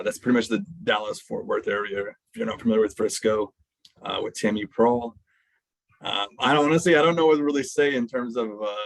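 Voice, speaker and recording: A man's voice: fairly low pitch, vocal fry, rough recording. A young man introducing himself as a design associate on a video call, in a hesitant, modest way. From Texas, USA.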